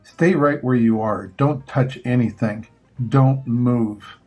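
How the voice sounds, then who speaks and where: Older man reading as husky, deadpan boy detective. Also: mid-range voice, slow, soft background music. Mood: brisk, commanding. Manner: terse, deadpan, businesslike. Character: matter-of-fact boy detective, self-assured and serious.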